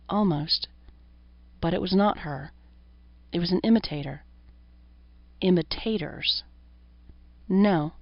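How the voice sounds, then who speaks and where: A woman reading a horror story as an audiobook narrator, in scripted narration. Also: lowish voice. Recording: roomy echo, dull lo-fi sound.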